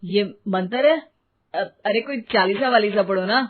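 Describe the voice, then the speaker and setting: A woman voicing a casual, sceptical grown-up son in an animated moral story. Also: medium pitch, moderate pace, lightly acted. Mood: doubtful. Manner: casual, dismissive. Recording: narrow phone-like sound, faint noise.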